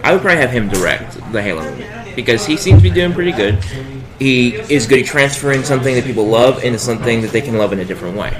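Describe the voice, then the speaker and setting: A man's voice: mid-range voice, animated, quick pace. A man speaking as a panelist, casual and opinionated in conversation. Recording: background crowd chatter.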